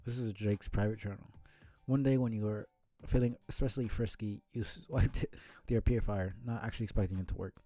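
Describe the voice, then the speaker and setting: A man streaming a casual read-aloud of a comic, with halting, muttered asides. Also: lowish voice, fairly even intonation, warm tone, dry recording, soft background music. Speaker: streamer reading a webcomic aloud.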